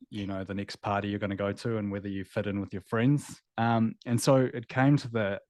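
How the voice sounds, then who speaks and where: A man's voice: fairly low pitch, dry recording, clean studio sound. A man in his thirties with a New Zealand accent, a guest telling his story candidly and reflectively in an interview. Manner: candid, reflective, with filler words. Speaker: guest, former veterinarian.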